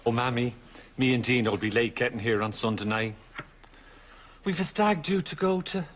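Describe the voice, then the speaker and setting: An actor playing a camp grown-up son in a TV sitcom, with an Irish accent. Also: male voice, medium pitch, normal speed, slight background noise, close to an everyday voice. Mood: pleading. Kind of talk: studio sitcom acting.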